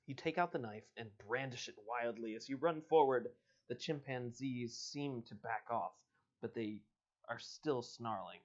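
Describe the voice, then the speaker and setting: A man's voice: medium pitch, unhurried. Lo-fi recording of a man reading a storybook. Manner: informal, bantering with co-host and viewers. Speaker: host reading an interactive adventure book aloud. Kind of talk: storybook read-aloud mixed with casual livestream chat. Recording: dull lo-fi livestream audio.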